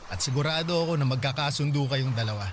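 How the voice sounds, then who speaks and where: An actor playing a devoted, persistent younger boyfriend in a radio drama. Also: male voice, mid-range voice, lively intonation, fast, slight background noise, natural voice. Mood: coaxing, upbeat.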